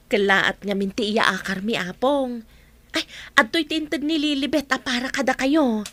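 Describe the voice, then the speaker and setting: A woman's voice: sits high, measured pace, fairly bright tone, dry recording. A woman playing an agreeable, reassuring young working woman in an audio drama, with an Ilocano Filipino accent. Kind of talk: amateur audio drama acting.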